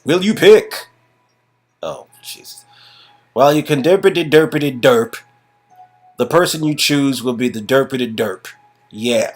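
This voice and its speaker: A man with a husky voice narrating a story game and improvising dry, halting, sarcastic asides. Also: medium pitch, soft background music. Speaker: narrator and reader.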